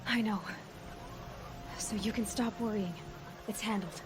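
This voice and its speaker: An actress as a headstrong young huntress in a video game, with a mid-pitched voice. She is earnest, stubborn and quick to question, with clear ambient noise behind her. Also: close to an everyday voice. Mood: calm, puzzled. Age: young adult. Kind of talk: video game cutscene voice acting.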